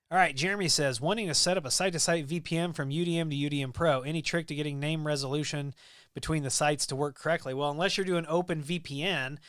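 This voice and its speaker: A Midwestern American man with a slightly higher voice chats loosely on a live stream, full of ums and half-finished thoughts. His tone is smooth and clear, and the sound is close and dry with no room around it. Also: no echo. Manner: rambling, relaxed, with many ums and restarts. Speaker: livestream host. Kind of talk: casual live-stream chat reading viewer comments.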